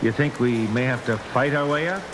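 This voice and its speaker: Edgy male crew lieutenant, played by an actor, plain-spoken and restless, medium pitch, vintage radio drama with noisy hiss. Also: moderate pace, close to an everyday voice. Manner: restless, informal, questioning. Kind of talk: 1950s radio drama acting. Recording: noisy, hissy old radio recording, rain.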